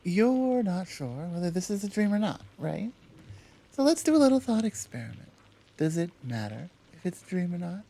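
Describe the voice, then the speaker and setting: A woman playing a gentle but guarded, protective Amazon warrior in an ASMR roleplay, soft-spoken and earnest, with hesitant pauses and gentle chiding. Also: fairly low pitch, big pitch swings, creaky, exaggerated voice. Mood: thoughtful, challenging. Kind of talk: ASMR roleplay, one side of a dialogue.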